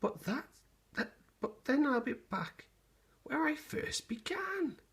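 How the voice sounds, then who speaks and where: A man voicing a flustered little old lady in a storybook, in a high falsetto with exaggerated, drawn-out delivery. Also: big pitch swings, vocal fry, a bit bright, heavily acted. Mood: puzzled.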